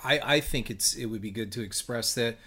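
A man's voice: medium pitch, a little fast, pushed and bright, breathy edge. A man with an American accent co-hosting a podcast, chatting casually in a plain-spoken way. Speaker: podcast co-host. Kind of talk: casual conversational podcast chat.

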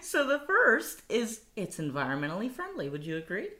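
A woman's voice: medium pitch, wildly swooping pitch, moderate pace, sharp tone. A woman co-hosting a casual money podcast, chatty and laughing often. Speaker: podcast co-host.

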